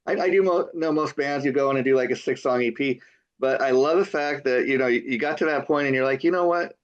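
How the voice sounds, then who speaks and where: A man's voice: medium pitch, fast. An older man hosting an interview, stammering and repeating words with frequent enthusiastic wows. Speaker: TV interview host. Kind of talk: casual interview talk. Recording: dull lo-fi sound.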